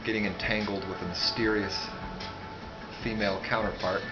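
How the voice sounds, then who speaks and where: Music plays clearly behind a man with a fairly low voice. He talks casually and without a script, in a halting, rambling way with asides that trail off. The recording is a little dull and lo-fi. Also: steady tone. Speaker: book reviewer, vlogger. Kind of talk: casual, unscripted talk to camera.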